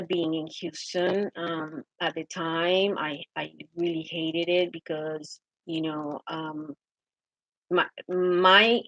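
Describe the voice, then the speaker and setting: Slow-talking Venezuelan woman, hesitant. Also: low voice, fairly bright tone, dry recording, low-quality recording. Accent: Venezuelan Spanish. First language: Spanish. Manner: hesitant, many fillers and restarts. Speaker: interviewee. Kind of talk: personal account of her country.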